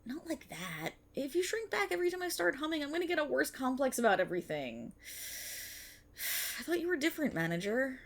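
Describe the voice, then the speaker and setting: A woman playing an excitable, earnest young banshee idol-in-training, chatty and teasing, in an ASMR-style roleplay aimed at the listener. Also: high voice, moderate pace. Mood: pouty.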